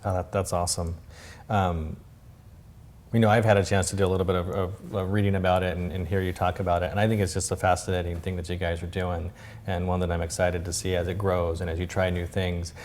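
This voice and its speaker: A man hosting a casual interview, friendly and a bit halting, often repeating words. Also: low-pitched, level tone, a little mellow, slight background noise.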